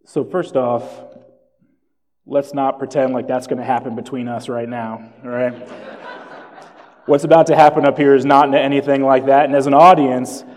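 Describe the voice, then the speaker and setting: Guy preaching, chatty storyteller, pokes fun at himself, mid pitch, big hall echo. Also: steady tone, moderate pace, darkish tone. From born in Jamaica, raised in South Florida. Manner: conversational, storytelling with self-deprecating asides. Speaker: pastor. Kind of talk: sermon. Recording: long hall reverb.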